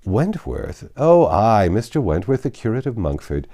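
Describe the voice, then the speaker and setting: A man's voice: lowish voice, sing-song, normal speed, dark tone, voice pushed up. Man putting on a pompous, haughty baronet. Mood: haughty, dismissive. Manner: pompous, dismissive. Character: vain, haughty middle-aged baronet. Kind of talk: classic novel character reading.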